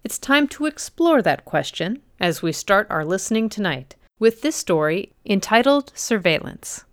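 A woman's voice: mid-range voice, big pitch swings, normal speed, no room sound, clean studio sound. A woman hosting a podcast, reading a scripted introduction to a story. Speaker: podcast host introducing stories.

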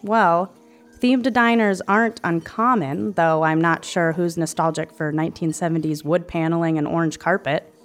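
A woman's voice: lowish voice, steady tone, normal speed, faint music behind, natural voice. A woman voicing a dry, sardonic young woman in an audio drama. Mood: wry.

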